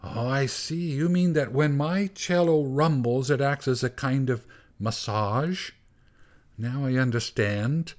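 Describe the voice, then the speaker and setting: A young cellist, blunt and a little petulant, speaking in a plain, puzzled way, as a man reading a storybook gives him voice. The voice stays close to the reader's own, with middling pitch. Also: takes it slowly, natural voice.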